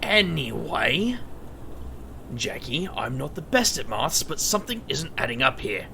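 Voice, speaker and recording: A loyal, bumbling man, acted for an audio drama with a lively, swooping delivery. His voice is forceful and a bit gravelly, with wry, defensive comedy. There is faint noise in the recording. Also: medium pitch, unhurried, heavily acted. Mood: wry, puzzled. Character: bumbling, defensive, loyal male friend of a magician.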